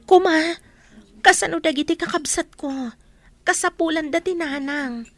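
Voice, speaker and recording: Fed up big sister in radio drama, super high bright voice, reproachful. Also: female voice, moderate pace, slight background noise. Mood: worried, pleading. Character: exasperated eldest sister, reproachful and burdened.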